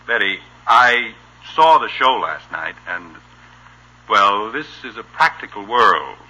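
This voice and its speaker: Middle-aged American dad, exasperated, dryly sardonic, lively intonation, husky edge, vintage radio sitcom recording. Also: male voice, lowish voice, slow pace, full character voice, low-quality recording. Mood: exasperated, scolding. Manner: gruff, impatient, dryly sardonic. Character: exasperated, no-nonsense family father at the dinner table. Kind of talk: 1950s radio sitcom acting. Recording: old radio broadcast, slightly harsh.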